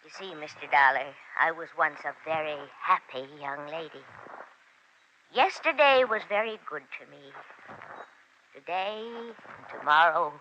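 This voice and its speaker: Fluttery, bright-voiced genteel old lady. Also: deep voice, expressive, measured pace, highs cut off, full character voice. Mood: nostalgic, fretful. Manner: fluttery, theatrical, old-fashioned courtesy. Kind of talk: 1940s radio acting. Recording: hissy, noisy 1940s radio recording.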